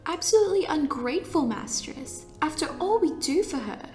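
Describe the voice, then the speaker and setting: A woman's voice: high voice, animated, normal speed, darkish tone, soft background music. A woman playing a devoted, playfully sadistic demon servant girl in an audio drama, sugary and fawning. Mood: indignant. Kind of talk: fiction podcast audio drama acting.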